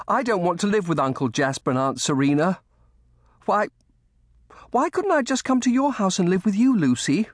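A man's voice: sits high, big pitch swings, normal speed, dry recording, clean studio sound, voice pushed up. A man voicing a frail, upset and stubborn young orphaned boy in a storybook, stammering as he protests. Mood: pleading. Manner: stammering, repeating words in protest.

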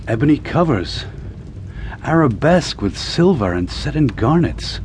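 Radio drama occultist's assistant: literate, formal, uneasy, mid-pitched, ambient noise behind. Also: male voice, sing-song, unhurried, a little mellow. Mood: uneasy, halting. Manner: formal, literate, hesitant when awed. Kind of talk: radio drama acting and first-person narration.

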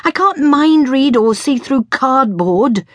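A woman's voice: lowish voice, wildly swooping pitch, moderate pace, slightly rough, no room sound, clean studio sound, pitched above the performer's own voice, exaggerated voice. Woman acting frazzled sweary mother, voice shooting up shrilly. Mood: exasperated, yelling. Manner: exasperated, snapping and shrieking. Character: frazzled sweary mother, shrill and fretting over her son.

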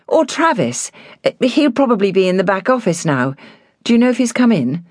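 An anxious young gentlewoman taking charge , played by a woman reader in a novel. She is brisk and well-spoken with worry in her voice, recorded very dry and close. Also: mid-range voice, sing-song, no room sound, voice pushed up. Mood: decisive, worried.

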